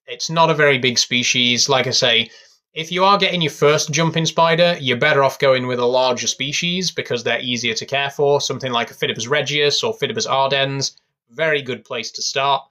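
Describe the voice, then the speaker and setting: Young man, casual stream host, bright tone, rambling delivery. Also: mid-range voice, brisk. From England. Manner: rambling, relaxed, many fillers. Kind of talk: casual live stream chat. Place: bedroom at home.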